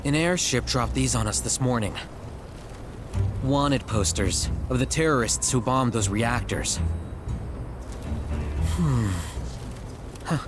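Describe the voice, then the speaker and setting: A woman's voice: very deep voice, takes it slowly, long pauses, over music. A woman playing a friendly, welcoming village gatekeeper in a video game, informal in manner. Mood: matter-of-fact.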